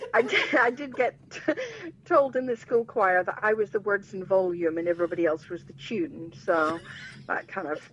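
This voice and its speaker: A woman telling a story in casual chat with animated, self-mocking humour. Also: medium pitch, breathy edge. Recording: dull lo-fi sound.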